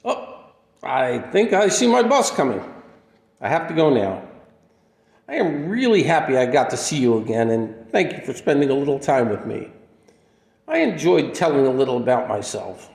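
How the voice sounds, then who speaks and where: An echoing radio play recording with long reverb carries a man acting as a gentle, lonely drifter who heals people. His voice is creaky and middling in pitch, and he talks earnestly and reflectively, with a folksy kindness. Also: very animated, moderate pace. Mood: fond farewell. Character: kindly, lonely male wandering healer with little memory. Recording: echoey room with long reverb.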